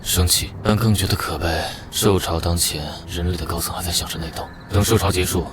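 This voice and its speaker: An actor playing an aloof, powerful fighter in an apocalyptic animated comic, terse, unhurried and commanding. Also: male voice, sits low, background noise, close to an everyday voice. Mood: cold, resolute. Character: aloof, battle-hardened man, powerful calm fighter in apocalypse. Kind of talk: dramatic dubbed animated-comic dialogue. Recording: loud music behind the voice. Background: loud dramatic music.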